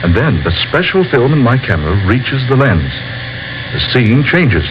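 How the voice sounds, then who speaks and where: An actor playing a solemn male inventor who explains his discovery in a slow, lecturing way, in a 1940s radio drama. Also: medium pitch, sing-song, natural voice. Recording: lo-fi old radio recording with faint hiss.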